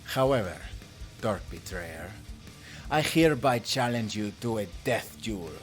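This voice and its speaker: A man's voice: fairly low pitch, expressive, a little slow, faint music behind. Man acting a pompous, ceremonious magic duellist. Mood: stern formal. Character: pompous, formal male heroic magic duellist. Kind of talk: audio roleplay acting.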